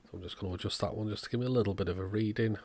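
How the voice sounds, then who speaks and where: A man's voice: lowish voice, steady tone, racing, a little mellow, slight background noise. A man narrating a hands-on repair demonstration in a methodical, step-by-step way, as the host of an electronics channel.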